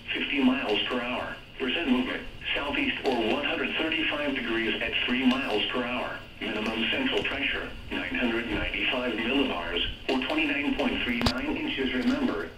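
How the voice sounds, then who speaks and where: A synthesized male announcer reading a scripted weather bulletin in a formal, measured way, heard through a radio speaker. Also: mid-range voice. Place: played from a radio, recorded across a room. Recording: weather radio speaker, echoey, distant, noisy.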